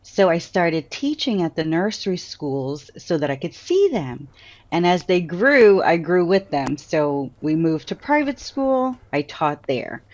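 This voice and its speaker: A woman with an American accent, an author answering interview questions in a lively storytelling way and laughing often. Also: fairly low pitch, measured pace, lo-fi sound. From Trinidad. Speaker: author and guest.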